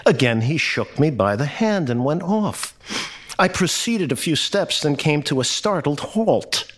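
A man reading a literary short story aloud in a measured, expressive and deliberate way. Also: a little high. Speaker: story reader.

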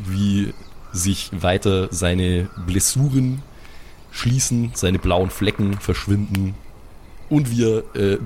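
A man's voice: on the low side, darkish tone, a little hiss or hum. A man narrating an improvised tabletop adventure as game master in speech.

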